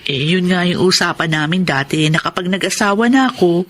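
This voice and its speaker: A woman's voice: fairly low pitch, expressive, fairly quick, fairly bright tone. A Filipino woman acting as a pregnant wife and responsible older sister in a radio drama. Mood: confiding.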